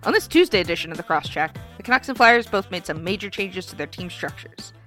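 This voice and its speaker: A woman's voice: lowish voice, expressive, quick pace, fairly bright tone, faint music behind. A woman co-hosting a casual hockey podcast, congested and stuffy, chatting with plenty of fillers. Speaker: podcast co-host. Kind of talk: casual sports podcast chat.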